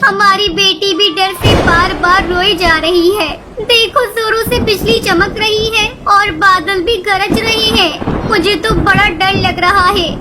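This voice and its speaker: A woman voicing a humble, anxious mother bird with an earnest manner in a Hindi animated story. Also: very high voice, slow, few pauses. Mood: frightened. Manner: pleading, earnest. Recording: loud music behind the voice.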